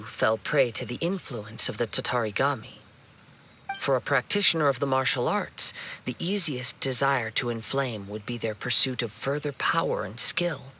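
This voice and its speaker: A man playing a calm, perceptive young wandering swordsman in a video game, speaking in a measured, formal and earnest way. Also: medium pitch, a little hiss or hum. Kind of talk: video game cutscene acting. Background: game music.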